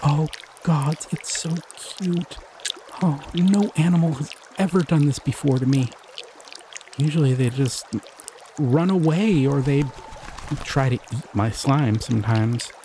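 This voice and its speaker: A man voicing a gentle, curious male slime creature in an audio roleplay; he is soft-spoken, easily delighted and chuckles often. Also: a little high, measured pace, slight background noise. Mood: musing.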